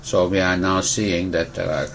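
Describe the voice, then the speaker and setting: A man explains medical matters in measured, careful sentences. He often stops to correct and rephrase himself for precision, and a faint hiss sits under his words. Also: sits low, steady tone. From Turkey. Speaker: physician, disease expert. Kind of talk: explanatory medical interview answers.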